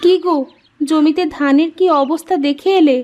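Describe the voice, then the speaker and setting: A woman's voice: sits high, steady tone, moderate pace, a little mellow, muffled recording, natural voice. A woman playing a caring, chatty farmer's wife in a Bengali cartoon story, with colloquial rural housewife talk. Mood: anxious, practical.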